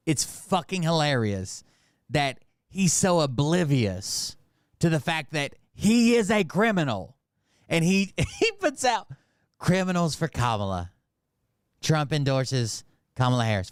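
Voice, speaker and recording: A man from Montreal hosts a casual political commentary show. His voice is clean and smooth, recorded close and dry. He talks bluntly, with plenty of swearing and a mocking edge. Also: fairly high pitch, dry recording. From Montreal, Canada. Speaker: host commenting on political clips.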